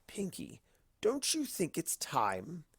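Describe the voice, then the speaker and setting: A suave male trickster from a cartoon storybook, as a narrator puts him on. He is smug and playful, slow and deliberate, with big swings of pitch in a dry, close recording. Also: huge pitch swings, husky, dry recording, exaggerated voice. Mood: teasing, deliberate. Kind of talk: storybook character reading.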